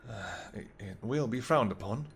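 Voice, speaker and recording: A man playing a tender, protective vampire king in a roleplay audio drama, speaking formally and earnestly, with halting phrases. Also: mid-range voice, slight background noise, close to an everyday voice. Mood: gentle, puzzled.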